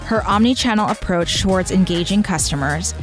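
A woman's voice: medium pitch, a little fast, soft background music, low-quality recording. A woman hosting a podcast, reading a polished, upbeat introduction and then asking the guest questions. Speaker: podcast host, beauty editor.

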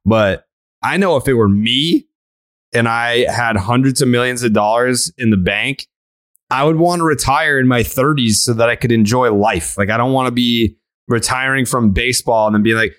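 A man's voice: medium pitch, normal speed, fairly bright tone, no echo. A man hosting a casual podcast chat, joking around and laughing often. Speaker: podcast host.

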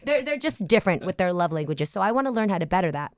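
An excitable, bubbly woman in her forties hosting an interview podcast, laughing easily. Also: fairly low pitch, racing, dry recording, studio quality. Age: 40s. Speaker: podcast host.

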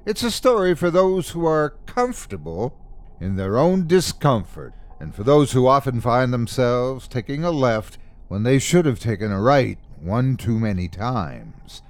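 A man hosting a horror podcast, reading a scripted introduction with theatrical, deliberate emphasis. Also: mid-range voice, big pitch swings. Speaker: podcast host.

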